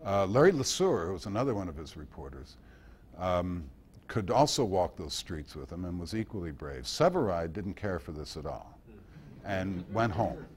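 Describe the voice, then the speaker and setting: A man giving an informal history lecture at a club meeting in a halting manner, recorded by an amateur. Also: sits low, very animated, a little mellow, husky. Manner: halting, with pauses and quoted passages read aloud. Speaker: guest speaker at a club meeting.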